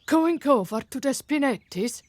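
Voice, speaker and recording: An actress playing a questioning, disbelieving lord's wife in a period radio drama. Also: female voice, sits high, lively intonation, measured pace, no room sound. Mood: incredulous.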